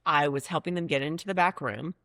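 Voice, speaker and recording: Woman in her 50s, lowish voice, rambly chatty interview talk, dry close sound. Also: fast, fairly bright tone, no echo. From Florida, United States. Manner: chatty, rambling, laughs at herself. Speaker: nonprofit founder, guest. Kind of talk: casual interview chat.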